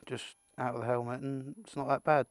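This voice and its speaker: Casting: British man, mid-pitched, amateur vlogger talking through an unboxing off the cuff, rambling and a bit sweary, dry close sound. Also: little pitch movement, fairly quick, dry recording. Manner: rambling, muttering asides to himself, mildly sweary. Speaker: amateur video creator presenting an unboxing. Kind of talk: casual unscripted product unboxing chat.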